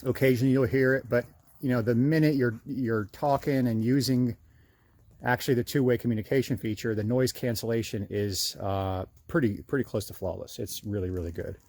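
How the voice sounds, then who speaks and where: A man's voice: medium pitch, fairly even intonation, brisk, a little mellow, no room sound. A man, plain-spoken and conversational, talking through a casual hands-on product review.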